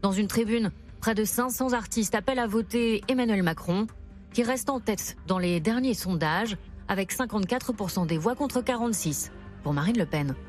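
A woman reporter narrating a scripted television news segment in a measured way. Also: medium pitch. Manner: measured, even report delivery. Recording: faint music underneath.

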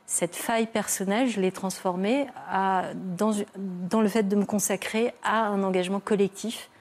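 An older woman speaking as a guest on a television talk show, giving a personal testimony with many pauses, fillers and restarts. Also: medium pitch, fairly even intonation, darkish tone. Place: television studio.

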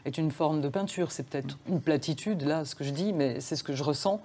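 A woman born in France, a crime novelist guest, answering interview questions thoughtfully and softly, pausing to search for words. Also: sits low, slight background noise. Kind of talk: reflective studio interview talk. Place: TV studio.

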